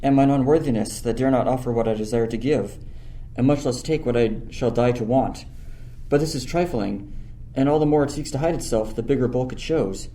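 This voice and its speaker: Mellow, bashful young lover played by a man. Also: mid-range voice, brisk, close to an everyday voice, low-quality recording. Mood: tender, earnest. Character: bashful, innocent young lover, sincere and devoted. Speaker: student actor. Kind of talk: Shakespearean radio play acting. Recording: faint noise, ambient sound effects.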